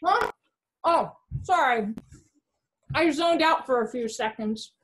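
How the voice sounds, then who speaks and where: A middle-aged woman playing a gluttonous, dopey animal in an amateur children's play, with a halting, exaggerated, whiny delivery. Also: sits high, lively intonation. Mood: vacant. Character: gluttonous, dopey animal who jokes about eating friends.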